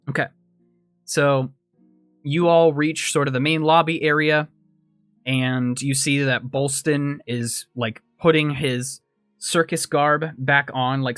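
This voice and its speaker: A man's voice: mid-range voice, fairly even intonation, slow, long pauses, a bit bright, smooth, dry recording, soft background music. A man narrating a tabletop game as game master, describing scenes in a conversational, improvised way.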